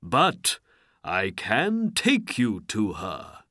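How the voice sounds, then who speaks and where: A narrator voicing a solemn, obedient male genie, formal and booming, in a storybook. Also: huge pitch swings, extremely slow, bright, forceful tone, dry recording, studio quality, exaggerated voice.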